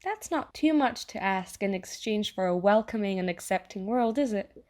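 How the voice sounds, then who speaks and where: A woman playing a chatty, falsely friendly AI that lives in someone's mind, coaxing and quietly menacing in an audio theatre monologue. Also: mid-range voice, lively intonation, moderate pace, close to an everyday voice. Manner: chummy, casual, coaxing, passive-aggressive.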